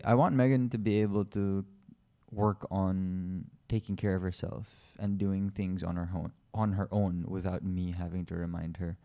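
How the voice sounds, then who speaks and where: A young man from the Philippines chats on a couple's podcast in a low, mellow voice. He keeps things loose and teasing and wanders through his thoughts. The sound is relaxed and informal. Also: clear, resonant tone. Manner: easygoing, joking, talks in loose run-on thoughts. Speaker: podcast co-host. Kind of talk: casual couple's podcast chat.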